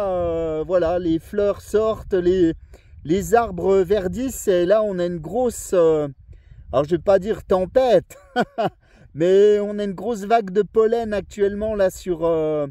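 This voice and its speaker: High-voiced man chatting on the radio. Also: a little mellow. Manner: friendly, rambling, repetitive. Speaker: amateur CB radio operator and video maker. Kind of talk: casual radio contact chat. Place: outdoors in a forest area, mobile radio.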